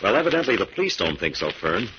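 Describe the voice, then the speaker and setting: Analytical crime fighter, 1940s radio drama, serious, animated intonation, muffled old recording. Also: male voice, fairly low pitch, heavy background noise. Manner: measured, explanatory. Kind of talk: 1940s radio adventure acting.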